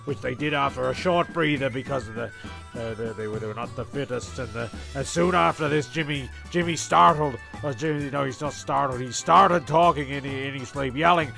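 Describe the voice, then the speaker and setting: A man's voice: mid-range voice, moderate pace, gravelly, bright, forceful tone. A man narrating a comic story in an offhand, stumbling way, often repeating phrases. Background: music.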